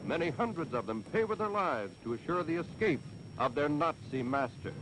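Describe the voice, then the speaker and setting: A man's voice: on the high side, lively intonation, normal speed, background noise. An American man narrating a scripted 1940s wartime newsreel in a dramatic, declamatory, patriotic manner. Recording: vintage 1940s newsreel soundtrack with music.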